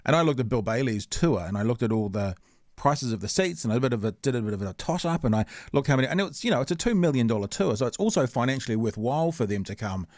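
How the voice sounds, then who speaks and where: A man's voice: medium pitch, expressive, fast, darkish tone, no room sound, studio quality. A man from New Zealand with a Kiwi accent hosting a casual podcast interview, chatty and winding in long sentences. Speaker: podcast host.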